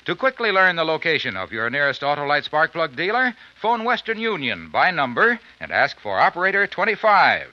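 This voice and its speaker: A man working as a commercial announcer, giving a punchy, folksy sales pitch in old-time radio style. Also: wildly swooping pitch, fairly quick, hardly any pauses. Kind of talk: 1950s radio advertising pitch. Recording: dull vintage radio recording.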